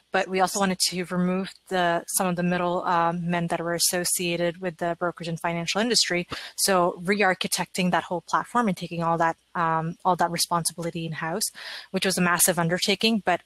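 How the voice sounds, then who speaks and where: A woman talks very quickly as an engineering director on a panel. Her voice sits slightly low and stays fairly level in pitch, with a dry, close sound. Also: level tone, fairly bright tone, no room sound, low-quality recording. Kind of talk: conference panel talk.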